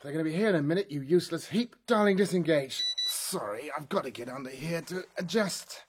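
A man playing a fussy, quick-tempered inventor who fiddles with his robot and barks orders at it. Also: a little high, lively intonation, breathy edge. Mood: bossy.

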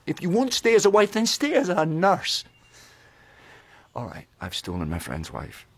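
Guy playing a wrecked remorseful husband in a radio play, raspy, dry close sound. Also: high voice, wildly swooping pitch, moderate pace, no room sound, heavily acted. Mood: self-mocking. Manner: swings from wry joking to pleading. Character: remorseful dissolute man, self-mocking and anguished.

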